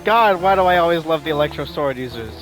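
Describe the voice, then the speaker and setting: Casting: casual male gamer, slightly high voice, informal play-along commentary over music. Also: lively intonation, quick pace, low-quality recording. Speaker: gaming video host. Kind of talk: casual live gameplay reaction. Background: game music.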